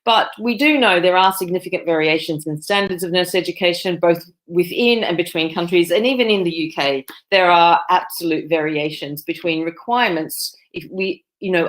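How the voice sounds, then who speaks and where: A woman's voice: lowish voice, a little fast, hardly any pauses. A woman, a nursing professor giving a conference presentation over a video call, with many fillers and restarts. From Australia. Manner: fillers and self-corrections.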